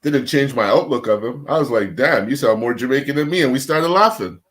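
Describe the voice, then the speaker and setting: A man's voice: medium pitch, lively intonation, brisk, fairly bright tone. A man in a casual panel debate, talking bluntly and profanely and telling anecdotes.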